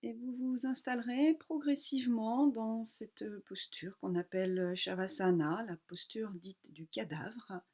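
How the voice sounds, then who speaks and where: Calm woman guiding a relaxation session. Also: medium pitch. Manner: calm, unhurried, instructing in short phrases with pauses. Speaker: yoga nidra teacher. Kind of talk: guided relaxation meditation.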